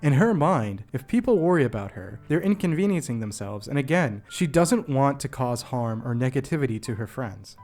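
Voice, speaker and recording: A young man delivers scripted video essay narration with music underneath. His voice sits at a medium pitch, and his manner is jokey and self-deprecating, in the style of an online storyteller. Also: big pitch swings, moderate pace, hardly any pauses, darkish tone. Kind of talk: scripted narration with comedic asides. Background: background music.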